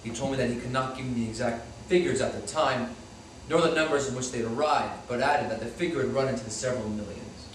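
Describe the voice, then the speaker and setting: Heard from far off in a very echoey, noisy hall, a man acts a former Nazi commandant in his forties. He gives formal, measured testimony in a stage drama, unrepentant and matter-of-fact. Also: mid-range voice, natural voice. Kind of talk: staged reading of a play, testimony. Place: hall, recorded from a distance. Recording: very echoey hall, far mic, loud noise.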